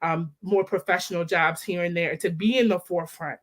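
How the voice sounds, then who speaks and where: A woman's voice: lowish voice, fairly even intonation, brisk. A woman in her thirties from the Baltimore area, a guest talking animatedly and laughing as she tells her story over a laptop video call. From Baltimore area, Maryland. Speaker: guest, maternal health director. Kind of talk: casual interview chat.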